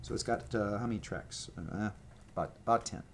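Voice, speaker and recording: A man with a lowish voice chats to a camera in his home, showing off items he owns. He wanders from point to point, restarts his sentences and fills the gaps with ums and you-knows. Faint room ambience sits under his voice. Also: brisk, slight background noise. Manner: chatty, meandering, many fillers and restarts. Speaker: vlogger showing his merch. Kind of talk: rambling casual show-and-tell. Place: home room with gray walls and a red accent wall. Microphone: camera microphone, speaking to camera at a table.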